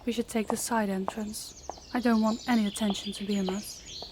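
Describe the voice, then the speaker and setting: A woman's voice: mid-range voice, fairly even intonation, moderate pace, close to an everyday voice. Woman acting a young princess, faint background noise. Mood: hushed, cautious. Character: young rival princess, daughter of a stern king. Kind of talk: intimate audio roleplay acting.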